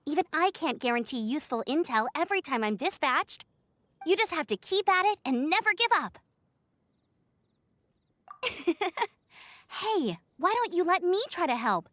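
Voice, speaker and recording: A woman's voice: high-pitched, sing-song, measured pace, pauses often, no room sound, full character voice. A woman playing a perky, upbeat young scout in a video game, friendly and encouraging. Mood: cheerful, encouraging. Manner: bright-spirited, encouraging, friendly. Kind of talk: scripted video game character acting.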